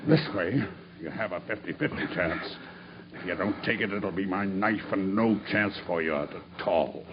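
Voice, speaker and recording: An actor as a very deep-voiced grim killer. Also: male voice, normal speed, close to an everyday voice. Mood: menacing. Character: grim, vengeful male murderer confessing as a prisoner. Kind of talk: radio drama acting, narrated confession. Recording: dull radio drama recording with background noise.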